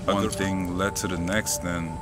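A man in his thirties from Honduras, raised in Miami, talking casually and reflectively about himself in a halting way. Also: low voice, flat delivery. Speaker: mural artist. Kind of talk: casual interview to camera. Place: outdoors by a painted court wall. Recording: music bed behind the voice.

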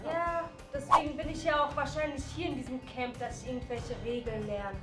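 A woman's voice: high voice, fairly even intonation, brisk, echoey room, over loud music, natural voice. A young woman playing a sulky teenage truant at summer camp, blunt and slangy in her complaints. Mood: sulky, defiant. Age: young adult. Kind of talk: scripted reality-show acting.

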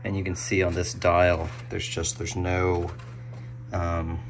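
An American man narrating his hobby restoration work casually and unscripted, musing out loud as he goes. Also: sits low, flat delivery, takes it slowly. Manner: musing, thinking aloud. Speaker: hobbyist radio restorer presenting a video. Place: small indoor home workshop. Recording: faint room noise.

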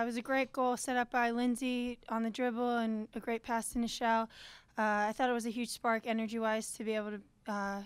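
A woman's voice: on the high side, smooth, no room sound. A young adult woman college soccer player answering press questions at a table mic in a plain, composed way. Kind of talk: post-game press conference answers. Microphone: table microphone.

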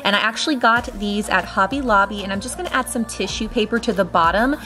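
Lady narrating her decor vlog, friendly and chatty, mid pitch, light music under. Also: fast talker, pushed and bright. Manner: friendly, chatty, addresses viewers as y'all. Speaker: home decor vlogger. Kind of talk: casual voice-over tutorial.

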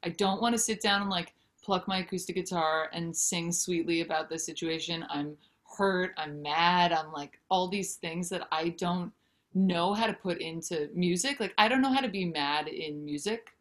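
Woman, 30s, fairly low voice, candid and animated, on a video call from home. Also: moderate pace. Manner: candid, animated, gesturing with hands. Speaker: guest, singer-songwriter and author. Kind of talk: casual interview chat. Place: home room with brick wall.

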